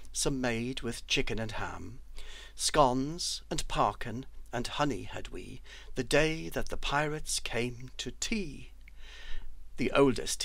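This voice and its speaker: A man giving an expressive poetry recital with careful, deliberate articulation. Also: medium pitch, gravelly, dry recording. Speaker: poetry reader who has trained actors.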